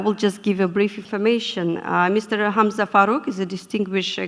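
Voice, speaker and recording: A woman moderates a formal conference panel, her voice carrying a gravelly rasp. She speaks in a measured way, pausing often with fillers. The sound rings with the long reverb of a large hall. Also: medium pitch. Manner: measured, with frequent hesitations.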